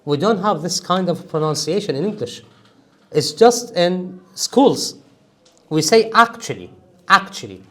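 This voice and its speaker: Lively high-voiced male trainer. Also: measured pace. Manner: emphatic, animated, joking with students. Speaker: English test trainer. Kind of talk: classroom lecture.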